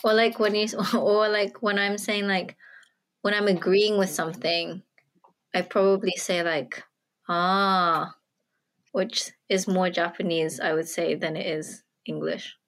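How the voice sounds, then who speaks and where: A woman in casual podcast chat, talking in halting bursts with frequent restarts. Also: on the low side, little pitch movement, a bit bright.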